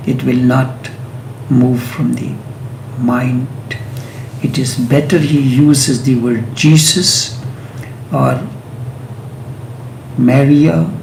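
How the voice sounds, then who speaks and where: A man whose first language is Hindi, giving a slow, meditative spiritual talk with long pauses and drawn-out chanted sounds. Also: medium pitch, creaky, dark tone, echoey room, a little hiss or hum, poor audio. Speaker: spiritual teacher.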